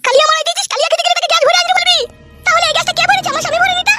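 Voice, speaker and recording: A woman voicing a squeaky, shrill, foul-mouthed cartoon wife who quarrels and taunts. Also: steady tone, very fast, over music. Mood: scolding, combative. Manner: combative, taunting.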